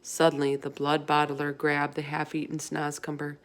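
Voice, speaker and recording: A woman reading a children's story aloud as an expressive narrator. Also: deep voice, moderate pace.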